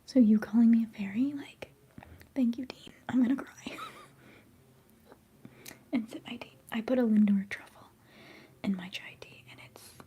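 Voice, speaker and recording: A young woman whispering softly and chattily during a live ASMR stream, giggling now and then. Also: on the high side, moderate pace, pauses often, muffled recording, a little hiss or hum. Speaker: livestream host.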